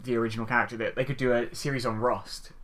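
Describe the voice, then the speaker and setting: A man's voice: mid-range voice, level tone, fast, fairly bright tone. A man chatting casually and giving his opinions informally as a podcast panelist. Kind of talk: casual chat.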